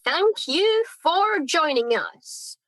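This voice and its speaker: Woman as high-voiced chirpy radio presenter. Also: sing-song, drawn-out words, noisy background, full character voice. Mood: bright, professional. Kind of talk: mock broadcast presenting.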